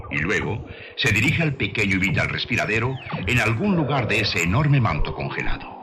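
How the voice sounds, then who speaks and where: A man delivering scripted narration in a deliberate, didactic way, with the rhetorical delivery of a preacher. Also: fairly low pitch.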